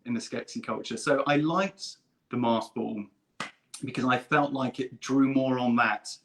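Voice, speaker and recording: Bright, forceful man chatting enthusiastically. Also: mid-range voice, fairly even intonation, unhurried. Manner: enthusiastic, emphatic. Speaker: guest performer at an online fan convention. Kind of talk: casual chat.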